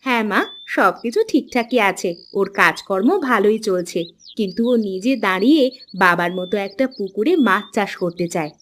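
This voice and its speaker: A woman's voice: medium pitch, expressive, moderate pace, a bit bright, natural voice. Chatty young married daughter played by a woman, birdsong behind. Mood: earnest. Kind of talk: Bengali animated folk-tale dialogue. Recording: clear background noise, chirping birds.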